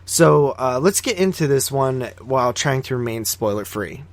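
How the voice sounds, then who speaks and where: A man's voice: mid-range voice, animated, moderate pace, no room sound. A man co-hosting a podcast, chatting casually about films in an informal way. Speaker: podcast co-host. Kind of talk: casual film review chat.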